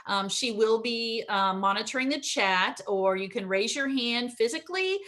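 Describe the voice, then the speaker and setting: Middle-aged woman running a zoom teacher training, kinda high voice, fast and upbeat, lots of ums. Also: expressive, a bit bright. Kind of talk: online teacher training presentation. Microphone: video call.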